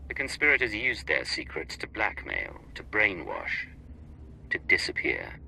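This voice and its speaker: A space opera spy for a video game, cool and bitter. The voice sits very low with gravel in it and a hard, bright edge. Delivery is measured and clipped. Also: male voice, wildly swooping pitch, a little hiss or hum, full character voice, rough recording. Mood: cold, bitter. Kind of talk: cinematic video game acting.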